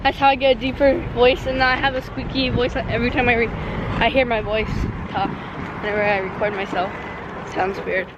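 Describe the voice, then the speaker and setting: A woman's voice: on the high side, flat delivery, a little fast, highs cut off. A young-sounding female speaker chatting casually. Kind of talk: casual chat. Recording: noisy in-car vlog audio. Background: car and road noise.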